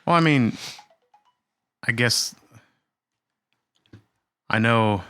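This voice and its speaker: A man's voice: medium pitch, extremely slow, a bit bright, smooth, no room sound. A man co-hosting a casual podcast, easygoing and jokey in his asides. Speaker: podcast co-host. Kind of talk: casual chat.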